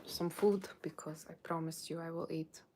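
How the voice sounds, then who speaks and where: A woman's voice: low-pitched, steady tone, moderate pace, darkish tone, a little hiss or hum. A woman with a Russian accent chatting casually and informally to livestream viewers as a tarot reader, in a rambling way. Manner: rambling, informal, often checking with "okay".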